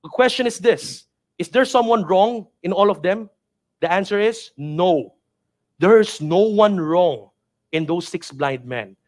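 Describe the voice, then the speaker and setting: A man's voice: high voice, animated, unhurried. A Filipino man in his thirties with a Filipino accent, a native Tagalog speaker, giving a motivational training talk in an emphatic, repetitive, urging manner. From Philippines. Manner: emphatic, repetitive, preacher-like urging with rhetorical questions. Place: indoor studio with virtual backdrop. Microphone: headset mic.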